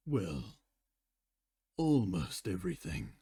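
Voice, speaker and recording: A man voicing a cold, defiant female pony with slow, menacing delivery in a fan fiction reading. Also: lowish voice, sing-song, creaky, dark tone, slightly rough, dry recording, studio quality, full character voice.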